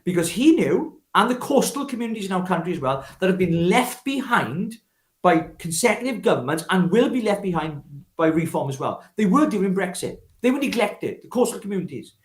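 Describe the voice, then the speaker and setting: A man's voice: sits high, very animated, brisk, echoey room. A Welsh man speaking off the cuff as a political interview guest, emphatic and oratorical, leaning on repetition and rhetorical questions. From Wales. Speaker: political activist guest, former parliamentary candidate. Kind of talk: spontaneous political interview talk.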